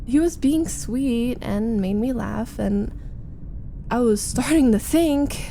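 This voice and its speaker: A woman voicing a flustered, needy young roommate in a chatty, halting, defensive roleplay audio, confiding as she vents. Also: on the high side, vocal fry, warm tone, a little hiss or hum. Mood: fond, wistful. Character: flustered, needy young female roommate venting about a date. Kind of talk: roleplay ASMR audio acting.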